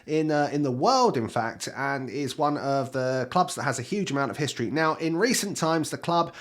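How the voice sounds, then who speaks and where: A British man in his thirties, slightly hoarse from a cold, chatting directly to viewers in a casual TV review. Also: medium pitch, animated, normal speed, a bit bright. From Britain.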